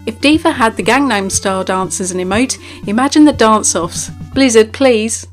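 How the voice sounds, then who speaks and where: High-voiced woman narrating a game profile. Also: normal speed. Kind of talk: scripted narration. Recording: music behind.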